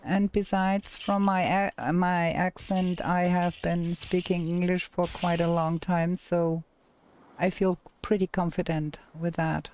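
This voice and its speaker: A woman's voice: fairly low pitch, level tone, slow, a little mellow, lo-fi sound, a little hiss or hum. A woman with a German accent streaming a game, thinking aloud and chatting with her viewers as she plays. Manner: talks to herself and chat while playing, thinking aloud. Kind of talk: casual gameplay commentary.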